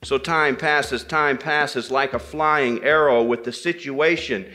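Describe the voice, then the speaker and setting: A man tells an old folktale live, in a bright, forceful voice of medium pitch. He is deliberate, repeating phrases and pausing for dramatic weight. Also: fairly even intonation. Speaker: storyteller at a men's gathering. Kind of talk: live oral storytelling of a folktale, dramatic pauses and repetition.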